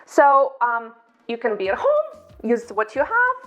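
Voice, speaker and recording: A chatty woman with a Ukrainian accent walks viewers through her cooking staples in a home kitchen. Her voice sits high, and the recording sounds slightly dull and lo-fi. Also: huge pitch swings, a little slow, a bit bright, soft background music. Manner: upbeat, chatty, slightly non-native phrasing. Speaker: cooking channel host. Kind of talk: casual cooking demonstration to camera.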